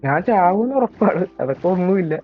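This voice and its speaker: A man's voice: expressive, a little fast. A young man with a Malayali accent giving casual, self-mocking commentary while he plays a horror game. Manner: chatty and self-mocking, admits he scares easily. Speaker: gaming YouTuber. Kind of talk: casual let's-play commentary. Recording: tinny, low-quality mic.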